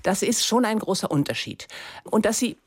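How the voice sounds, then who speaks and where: A woman's voice: mid-range voice, expressive, moderate pace, husky. A woman, answering radio interview questions as a guest in a considered, articulate way. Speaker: literary translator, interview guest.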